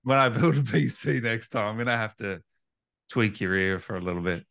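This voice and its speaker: A man's voice: on the low side, a little fast, vocal fry, fairly bright tone. A man hosting an informal interview, chatting casually and jumping in with curious questions and interjections. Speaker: interview host. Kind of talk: casual chat.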